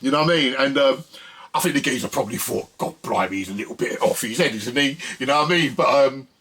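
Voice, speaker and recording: A man's voice: mid-range voice, lively intonation, quick pace, a bit bright, breathy edge. A British man hosting a music channel, chatting casually and colloquially to viewers in a rambling way with frequent tag questions. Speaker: host of a music video channel. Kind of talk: casual chat to viewers about records.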